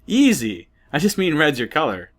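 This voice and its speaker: Eager, animated teenage boy. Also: fairly high pitch, normal speed. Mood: warm, easygoing. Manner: upbeat, expressive. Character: friendly, eager, chatty teenage high school boy. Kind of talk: audiobook character acting.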